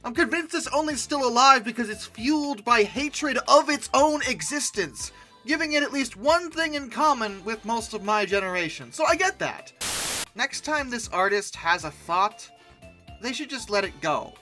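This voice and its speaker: A woman's voice: fairly high pitch, moderate pace, soft background music. A young woman hosting a comedic commentary, sarcastic and exasperated. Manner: sarcastic, exasperated, lively outbursts. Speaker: YouTube host.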